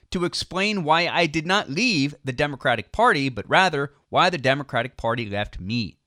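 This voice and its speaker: A man's voice: a little high, a bit bright, no echo. American man narrating, close and dry. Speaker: audiobook narrator. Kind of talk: scripted narration of a political book.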